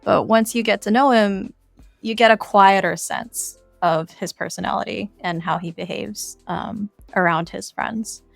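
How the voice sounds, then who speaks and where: Woman, game creator, casual interview talk, gravelly creak, close dry sound. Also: medium pitch, unhurried, no room sound, faint music behind. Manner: informal, explanatory, peppered with "like" and "kind of".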